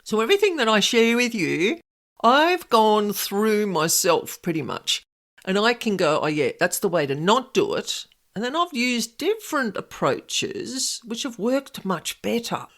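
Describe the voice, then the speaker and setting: Loud, lively woman. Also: mid-range voice, unhurried. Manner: extravagant, animated, easily sidetracked. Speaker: podcast host, paranormal investigator. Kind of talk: casual storytelling podcast.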